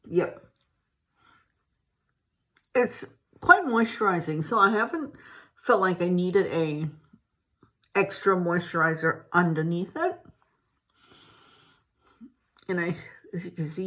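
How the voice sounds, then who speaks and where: A woman's voice: on the low side, a bit bright. A woman who grew up in Malaysia, chatting to camera at home in a rambling, casual way with many restarts. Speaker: beauty vlogger. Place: home, indoors.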